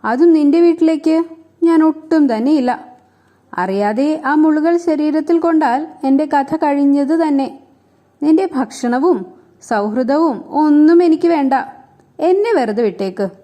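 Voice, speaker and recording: A timid but dismissive cartoon rabbit, voiced by a woman. The speech is high and unhurried, blunt and fussy, as in a children's fable. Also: lively intonation, dark tone.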